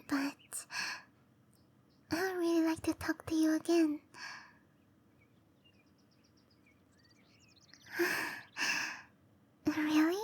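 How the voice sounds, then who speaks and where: A woman voicing a shy, gentle young cherry blossom dryad in a breathy, hesitant whisper for roleplay ASMR. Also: high-pitched. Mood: bashful, hopeful. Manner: hesitant, bashful, softly spoken. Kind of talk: roleplay ASMR, intimate and slow.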